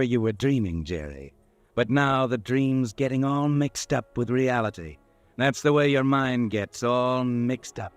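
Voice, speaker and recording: A man's voice: mid-range voice, smooth. A man narrating in ominous, insinuating second person, in an old radio drama.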